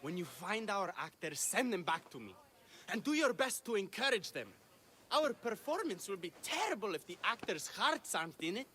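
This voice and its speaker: A man's voice: high-pitched, sing-song, normal speed, sharp tone, heavily acted. An actor playing a self-important Greek playwright and leading man, effusive and grandiose, in a video game. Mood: grandly confiding. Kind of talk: video game dialogue acting.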